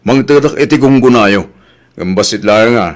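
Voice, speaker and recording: A man's voice: mid-range voice, normal speed, lightly acted. A man with an Ilocano Filipino accent playing a bossy, money-minded middle-aged village captain in a comedy audio drama. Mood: scheming. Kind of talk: comedy radio drama acting.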